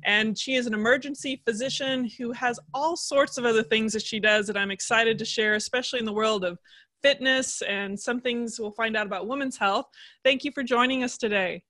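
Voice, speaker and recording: Bright-voiced woman podcast host. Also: fairly high pitch, fairly quick. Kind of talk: conversational podcast hosting.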